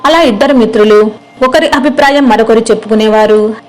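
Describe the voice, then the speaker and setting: Woman narrating a moral story from a script, kinda high voice. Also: a little fast, darkish tone, faint music behind.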